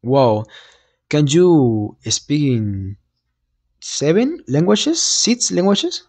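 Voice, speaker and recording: Ein junger Mann, dessen Muttersprache Spanisch ist und der in einem kleinen Zimmer locker in ein Handmikrofon Fremde nach ihren Sprachen fragt. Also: male voice, a little high, wildly swooping pitch, darkish tone. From Peru. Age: young adult. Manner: friendly, asks quick questions. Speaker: host. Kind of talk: casual video chat with strangers. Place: small room indoors. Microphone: handheld microphone.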